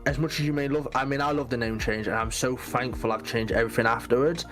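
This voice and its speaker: A man talks on a casual podcast, animated and emphatic. His pitch sits in an ordinary middle range. Faint music plays underneath. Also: quick pace. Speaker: podcast guest, Twitch mod.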